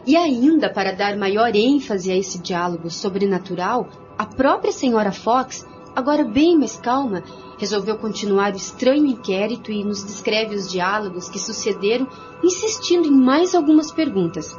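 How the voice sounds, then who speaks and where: A woman's voice: medium pitch, animated, moderate pace. A woman narrating a scripted radio drama in a formal storytelling manner. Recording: muffled radio recording with music.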